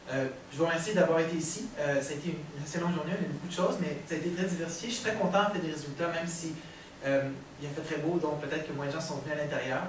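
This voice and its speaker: A man gives quick closing remarks at a conference, hesitating often between phrases. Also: on the high side. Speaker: conference organizer. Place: conference room without windows. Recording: very echoey room from far off, noisy.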